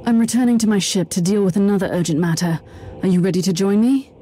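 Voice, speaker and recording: A woman's voice: medium pitch, quick pace, noisy background, natural voice. Woman acting a stern, resolute female inquisitor. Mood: commanding. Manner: commanding, unflinching, composed. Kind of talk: dramatic video game acting.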